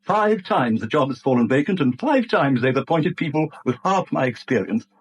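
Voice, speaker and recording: An actor playing a bitter middle-aged British bank manager in a radio drama, brusque, indignant and clipped, on a dull vintage recording. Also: male voice, medium pitch, expressive, moderate pace, close to an everyday voice. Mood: bitter, aggrieved. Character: bitter, prickly long-serving male acting bank manager, older. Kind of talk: 1970s radio drama acting.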